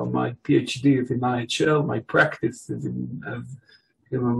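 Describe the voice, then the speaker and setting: Very creaky-voiced man. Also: medium pitch, darkish tone, low-quality recording. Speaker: research fellow of the host centre. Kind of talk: informal self-introduction at a workshop.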